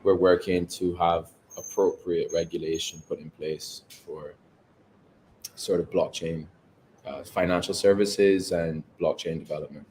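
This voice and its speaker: Deep-voiced man from Barbados. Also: steady tone, a little mellow, a little hiss or hum, rough recording. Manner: measured, articulate explanations. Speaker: guest, company co-founder. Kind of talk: interview answers. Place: home overlooking the ocean.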